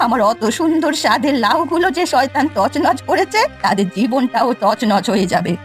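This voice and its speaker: A woman's voice: high-pitched, expressive, fairly quick. A woman voicing a bitter, suspicious old village granny in a cartoon folk tale, scolding and lamenting. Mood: cursing. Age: older. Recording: music behind the voice. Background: music.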